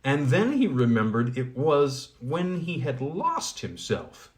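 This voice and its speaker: Guy reading an old fairy tale out loud, lively up-and-down voice, chatty ums between chapters. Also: mid-range voice. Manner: chatty with fillers in recap, measured when reading. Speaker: reader. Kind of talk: casual recap, then reading a fairy tale aloud.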